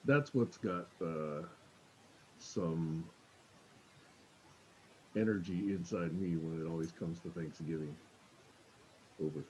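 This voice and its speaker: A man's voice: sits low, takes it slowly, long pauses, dark tone, a little hiss or hum, low-quality recording. A man from Taiwan, talking thoughtfully and earnestly in a casual group chat. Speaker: group participant. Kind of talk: casual chat on a video call.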